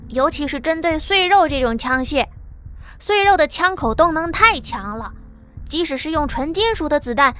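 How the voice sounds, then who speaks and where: A woman's voice: high voice, pushed and bright. A woman voicing a brash male workshop boss who eagerly explains his weapon ideas in an audiobook drama.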